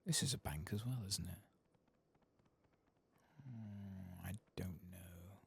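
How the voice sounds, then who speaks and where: Slow, low-voiced man murmuring ASMR commentary. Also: creaky, husky. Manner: murmured, musing to himself. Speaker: game streamer. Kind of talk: soft-spoken ASMR gameplay commentary with whispering.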